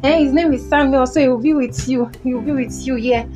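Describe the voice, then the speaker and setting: A woman's voice: high-pitched, fairly even intonation, fairly quick, mellow, faint music behind, lightly acted. A woman playing a devout, grateful mother in an audio drama, with a Nigerian accent and an emphatic, declamatory delivery full of repetition. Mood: grateful, joyful. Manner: emphatic, declamatory, repeats phrases. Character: devout, grateful, exultant mother, once barren and mocked. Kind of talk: podcast audio drama acting.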